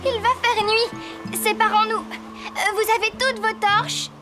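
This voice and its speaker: Earnest cartoon girl, very high voice, decisive, take-charge, loud music behind. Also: fairly even intonation, a little slow. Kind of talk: French animated series dub.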